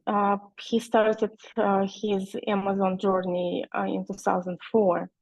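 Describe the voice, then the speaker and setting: A woman's voice: mid-range voice, flat delivery, creaky, a little mellow, no room sound, poor audio. A woman in her forties from Russia, speaking with a Russian accent as a guest presenter on a webinar, with many hesitant fillers. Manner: hesitant, frequent "uh" fillers, slow and careful. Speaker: guest speaker, online seller.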